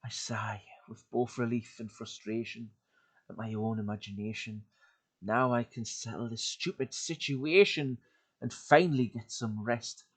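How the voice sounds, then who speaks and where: A man giving a dramatic reading of a horror story into a home desk mic, swinging from loud urgency to hushed, breathy lows. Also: fairly even intonation, unhurried. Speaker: YouTube creepypasta reader.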